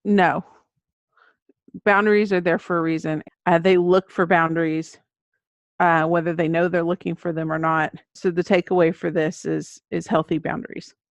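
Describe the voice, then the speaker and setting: A woman's voice: lowish voice, little pitch movement, normal speed, no echo, dull audio. A middle-aged woman, an author appearing as a guest, telling anecdotes in a casual interview style. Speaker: children's book author, podcast guest.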